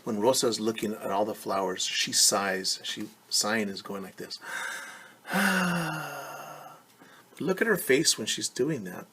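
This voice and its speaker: A man's voice: lowish voice, expressive, measured pace, sharp tone, slight background noise. A man teaching young children, reading a picture book aloud and patiently explaining it in simple terms with questions.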